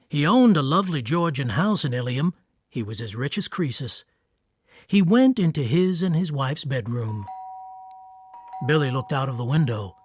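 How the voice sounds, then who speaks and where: A man narrating a radio drama in a measured, literary storytelling manner. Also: medium pitch, no echo, studio quality. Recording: noisy ambience under narration.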